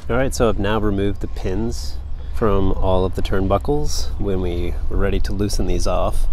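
Man in his thirties, lowish voice, methodical how-to vlogger on a sailboat, faint outdoor ambience. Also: slight background noise. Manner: explains step by step, practical and matter-of-fact. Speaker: sailing vlogger. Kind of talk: casual how-to narration. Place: on a sailboat at a marina.

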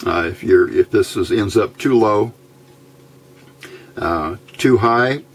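A man giving a casual technical tutorial in a plain-spoken, methodical way, with hesitant pauses, as an electronics hobbyist at a workbench. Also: fairly low pitch, husky. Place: indoors at a workbench.

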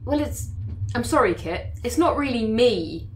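A woman's voice: on the high side, animated, unhurried, a little hiss or hum, rough recording. Chatty woman roleplaying. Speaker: player. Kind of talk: tabletop actual play, in character.

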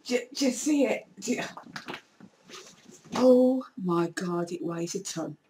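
Woman, 40s, croaky voice, animated rambling vlog chat in a small room, webcam a bit distant. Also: mid-range voice, takes it slowly, slight background noise. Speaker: YouTuber. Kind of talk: casual shopping haul vlog.

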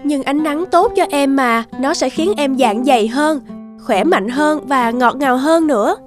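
A woman's voice: sits high, a little slow. A woman voicing a kind, playful young sunshine fairy in a children's cartoon. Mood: sweet, coaxing. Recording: music behind the voice.

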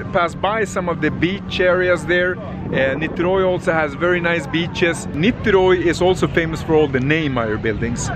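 A man's voice: on the high side, noisy background. A man speaking as a travel vlogger and guide, giving casual, enthusiastic commentary to camera outdoors on a boat. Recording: loud music under outdoor boat recording. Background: loud music, boat sounds.

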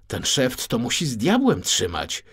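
A man's voice: medium pitch, wildly swooping pitch, measured pace, fairly bright tone, slightly rough, exaggerated voice. A man voicing a naive, easily startled maidservant in a children's story, speaking in exclamations. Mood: frightened.

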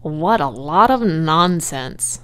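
Storybook rat, played by a woman, male, cynical, muttering, dismissive, lowish and drawling. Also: expressive, takes it slowly, fairly bright tone, smooth, heavily acted. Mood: scornful muttering. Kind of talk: storybook character voice.

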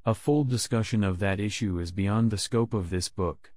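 A man reading scripted language test sentences aloud in a measured, deliberate way. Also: on the low side, darkish tone, dry recording. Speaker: test prompt reader.

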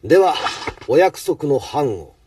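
A man playing an arrogant, villainous master chef in an anime, husky and haughty, formal but full of contempt. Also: on the high side, big pitch swings, slow pace, recorded from a distance, very noisy. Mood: smug. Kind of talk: Japanese anime acting.

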